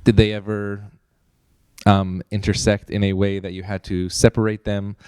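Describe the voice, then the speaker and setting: A man, a rabbi, moderates an on-stage conversation in a chapel, asking measured, careful questions. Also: lowish voice, flat delivery, a little mellow, smooth, no room sound, studio quality.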